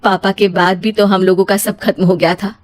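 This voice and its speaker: A woman's voice: medium pitch, level tone, normal speed, dry recording, natural voice. A woman voicing a kind, self-sacrificing elder sister-in-law in an emotional cartoon story. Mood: comforting. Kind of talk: melodramatic cartoon story acting.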